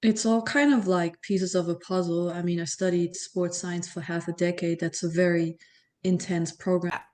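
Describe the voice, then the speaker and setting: A woman from Germany speaks, her voice pitched on the low side and barely moving in pitch. She is a podcast guest in her thirties. She explains things at a steady pace and often pauses on "um" or restarts a sentence. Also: a little hiss or hum. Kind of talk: casual interview talk.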